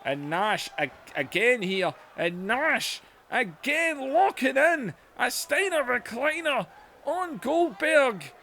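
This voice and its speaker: Excitable high-voiced man commentating wrestling. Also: takes it slowly, creaky, a little hiss or hum. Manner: excitable, shouts and laughs at big moves. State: voice going hoarse. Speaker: play-by-play commentator for a wrestling video game.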